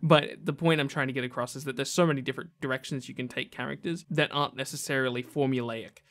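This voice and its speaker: A man's voice: medium pitch, fairly even intonation, fast talker, a bit bright. A man hosting a gaming list video, giving casual, opinionated commentary in a conversational way.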